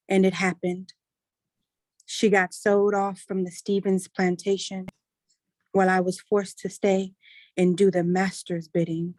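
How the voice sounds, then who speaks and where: A woman in a staged play reading, playing a solemn ancestor spirit, a formerly enslaved mother telling her story deliberately. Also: mid-range voice, a little mellow, no room sound, close to an everyday voice.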